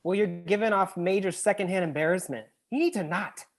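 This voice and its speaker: A man's voice: high voice, a little fast, gravelly. A man playing a sassy, blunt, teasing best friend in a screenplay table read. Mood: sassy, dry.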